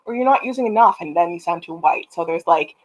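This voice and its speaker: Young Canadian woman guest, lower voice, reflective and hesitant, video call chat. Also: lively intonation, quick pace, low-quality recording. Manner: reflective, hesitant with many ums. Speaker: guest writer. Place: home room on a video call.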